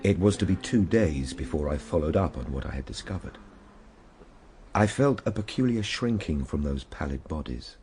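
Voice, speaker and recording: An actor playing a grave Victorian time traveller, narrating his ordeal in a measured, formal radio drama style. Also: a bass voice, animated, darkish tone, slight background noise. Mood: grave, brooding. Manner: measured, formal literary delivery.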